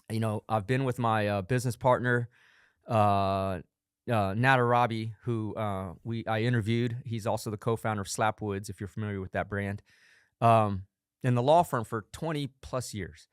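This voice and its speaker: A man's voice: lowish voice, clean tone, no room sound. A man in his forties hosting a podcast in a studio, telling stories with upbeat enthusiasm in a motivational monologue. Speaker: podcast host.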